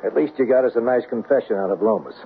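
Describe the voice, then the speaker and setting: An actor playing a gruff but forgiving police captain in a 1970s radio drama. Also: male voice, mid-range voice, quick pace, close to an everyday voice. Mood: wry reproof. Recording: noisy, narrow 1970s radio broadcast.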